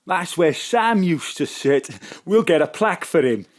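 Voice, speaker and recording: A man's voice: high-pitched, expressive, a little slow. A man playing a gullible, tipsy pub drinker in a comic audio drama, slurring and befuddled. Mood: anxious, hushed.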